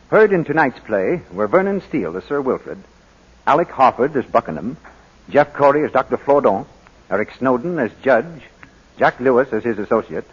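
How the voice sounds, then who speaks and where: Male 1940s radio announcer, American accent, very animated pitch, slightly husky, measured sales pitch, muffled vintage broadcast. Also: long reverb tail.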